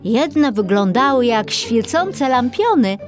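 A woman's voice: fairly high pitch, very animated, slow pace, music in the background. A woman narrating a scripted children's story.

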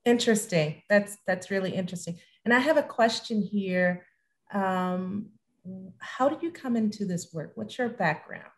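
A woman's voice: medium pitch, lively intonation, normal speed. A middle-aged woman hosting a live online panel from a room at home over a video call. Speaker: host, moderator.

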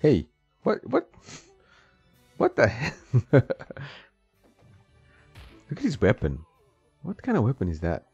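A man's voice: mid-range voice, huge pitch swings, slow pace, creaky, pauses often, a little mellow, breathy edge. A man in his thirties giving casual, joking commentary while he plays a game at home, laughing often and talking to the screen. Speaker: gameplay streamer. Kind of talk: casual let's-play commentary. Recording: slightly dull, dry sound with game music.

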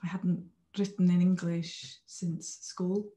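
A woman's voice: fairly low pitch, flat delivery, takes it slowly, mellow. A Welsh woman in her thirties, a Welsh-first-language author, speaking thoughtfully and with hesitations on a video call from a small room at home. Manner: thoughtful, hesitant, repeats words as she thinks. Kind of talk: relaxed interview talk.

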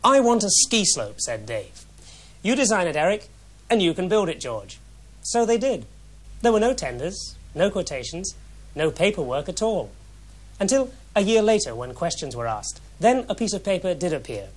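A man in his thirties with an English accent giving a scripted television report in a wry, measured way with deliberate pauses. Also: high voice, big pitch swings. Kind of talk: scripted investigative report, to camera and voice-over. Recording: 1970s TV film sound, background noise.

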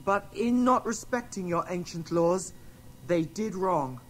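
A man's voice: high-pitched, unhurried, a little hiss or hum, poor audio. An actor playing a brave, earnest, courteous young boy adventurer in a radio drama. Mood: courteous, pleading.

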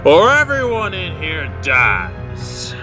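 An actor playing a vicious, unhinged moth-themed villain in a superhero audio drama, with theatrical delivery. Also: male voice, a little high, wildly swooping pitch, takes it slowly, exaggerated voice. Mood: vicious threat. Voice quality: creaky, theatrical. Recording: loud music behind the voice.

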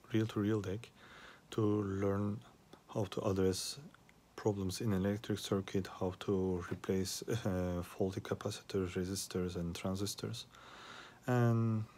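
A man with a lowish, fry-edged voice talks through a repair problem without a script. His delivery is very unhurried and hesitant, broken by frequent pauses, with music faintly present behind him. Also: darkish tone, a little hiss or hum. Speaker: hobbyist repairer asking viewers for help. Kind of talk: unscripted troubleshooting demonstration. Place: small indoor workspace at a repair bench.

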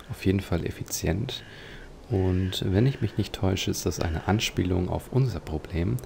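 A man giving reflective, slightly formal game commentary, pausing hesitantly as he thinks aloud. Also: sits low, gravelly, darkish tone, a little hiss or hum. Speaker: let's player.

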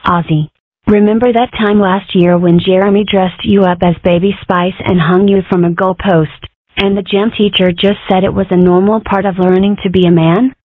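Woman as stilted, wry comic-strip fox, medium pitch, narrow phone-like recording. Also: steady tone, moderate pace, no echo, natural voice. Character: wry young fox girl and her fox mother. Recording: muffled, narrow phone-like sound, close and dry.

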